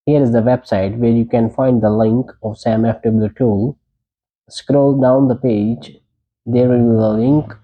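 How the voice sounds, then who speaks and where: A man's voice: mid-range voice, fairly even intonation, unhurried, dull audio. A man presenting a tech tutorial, giving short, plain step-by-step instructions.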